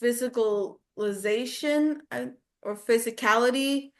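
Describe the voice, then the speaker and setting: A woman's voice: a little high, a little slow. A woman speaking as a voice acting student in a casual lesson chat, often searching for her words.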